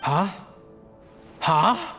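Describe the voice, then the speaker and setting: A man's voice: sits high, sing-song, drawn-out words, gravelly, a bit bright, soft background music, exaggerated voice. A man playing an earnest, hesitant family man in a video game. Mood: alarmed. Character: earnest, guilt-ridden family man hiding a secret.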